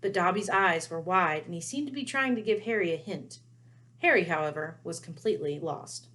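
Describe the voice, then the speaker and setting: A woman giving an expressive read-aloud narration of a storybook. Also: lowish voice, moderate pace. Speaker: storybook reader.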